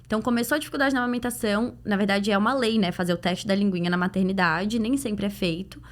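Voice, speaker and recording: A woman's voice: medium pitch, fast talker. A woman with a Brazilian Portuguese accent answering in a podcast interview as a clinician guest, explaining things conversationally with illustrative examples. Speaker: guest, pediatric dental clinician.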